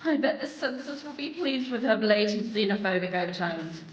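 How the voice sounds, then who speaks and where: A woman's voice: medium pitch, lively intonation, moderate pace, lo-fi sound, slight background noise, lightly acted. Woman acting a haughty succubus, distant and echoey. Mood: scornful, mocking. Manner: theatrical, haughty, sarcastic ranting. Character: vain, scornful, foul-mouthed female succubus demon. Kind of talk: video game character dialogue.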